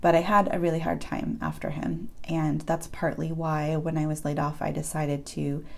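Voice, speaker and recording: Low, gravelly-voiced woman vlogger. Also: level tone, moderate pace. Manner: candid, personal, with hesitant fillers. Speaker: beauty vlogger. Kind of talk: casual Q&A vlog talk.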